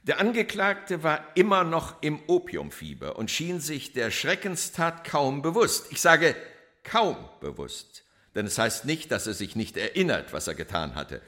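A cold police inspector giving testimony in a crime radio play. His voice is bright and forceful, with lively rises and falls. He speaks formally and deliberately, leaning on each damning word with complete self-assurance. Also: fairly high pitch, heavily acted. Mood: accusing, emphatic. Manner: formal, emphatic, deliberate courtroom oratory. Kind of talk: German radio crime drama acting.

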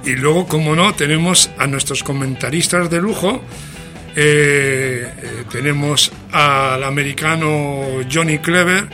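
A man's voice: a little high, unhurried. A man with an accent from Spain hosting a show in a chatty, jocular, theatrical way, full of exclamations. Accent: Spanish from Spain. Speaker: radio show host. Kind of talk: chatty, playful show presentation. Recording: music playing behind the voice. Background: music.

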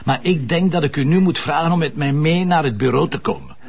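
An actor playing a blunt, mocking police commissioner with a Flemish accent in a crime drama. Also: male voice, on the high side, lively intonation, a little fast. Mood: businesslike. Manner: mocking, sarcastic. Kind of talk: TV detective drama dialogue. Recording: dull lo-fi broadcast audio.

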